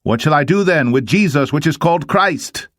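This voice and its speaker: A man's voice: on the high side, animated, moderate pace, fairly bright tone, no echo, clean studio sound, voice pushed up. A man voicing an insistent, exasperated Roman governor in a dramatised scripture reading, declaiming to a crowd. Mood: questioning. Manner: raised, declamatory.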